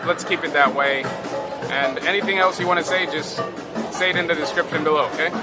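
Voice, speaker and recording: A man's voice: on the high side, steady tone, a little fast, over loud music. A man talking casually to camera as a vlogger, explaining things in an easygoing, conversational way and checking in with his listeners. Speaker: vlogger and electric unicycle rider. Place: outdoors on a city street. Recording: outdoor urban recording, loud traffic noise.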